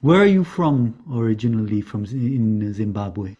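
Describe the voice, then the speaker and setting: A man's voice: lowish voice, animated, normal speed, dark tone. A man interviewing a guest, asking polite questions with hesitant fillers. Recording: dull lo-fi sound.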